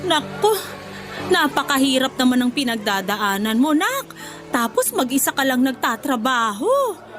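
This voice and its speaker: A woman's voice: high-pitched, big pitch swings, unhurried, a bit bright, faint music behind, full character voice. An actress playing an affectionate, motherly older former nanny in a Filipino radio drama, with a Filipino accent. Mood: concerned, pitying.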